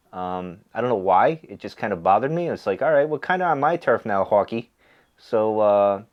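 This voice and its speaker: A man with a stuffy, nasal voice, chatting casually with lots of fillers and restarts. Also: on the low side. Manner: rambling, easygoing, many fillers and restarts. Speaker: pipe smoking video host. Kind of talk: casual chat.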